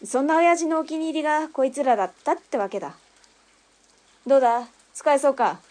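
An actress playing a tough, brusque female underworld boss and mother in a radio drama, speaking in a rough, mannish, commanding way. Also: high voice, fairly quick, darkish tone, natural voice. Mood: proud. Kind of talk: Japanese radio drama acting.

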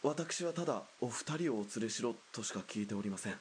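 A man's voice: mid-range voice, expressive, fairly quick, slight background noise, close to an everyday voice. A man as a dutiful, formal young soldier.

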